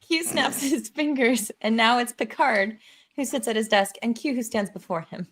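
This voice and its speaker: A woman's voice: fairly high pitch, moderate pace. A woman hosting a live table read, narrating stage directions with informal, playful asides. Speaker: host and narrator.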